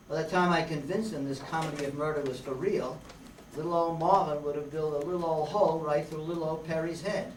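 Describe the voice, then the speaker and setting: Guy acting as hardboiled radio detective, slangy wisecracks, highish voice, echoey n noisy. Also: lightly acted. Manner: slangy, brash, sardonic. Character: wisecracking, hard-boiled male private eye. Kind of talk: old-time radio detective narration and dialogue. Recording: roomy, noisy, distant microphone stage recording.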